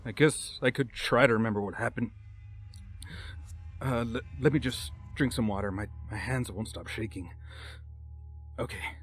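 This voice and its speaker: A man playing a traumatised young survivor of a monster attack, halting and stammering in distress. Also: mid-range voice, soft background music, lightly acted. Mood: traumatised, shaken. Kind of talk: horror voice-over monologue.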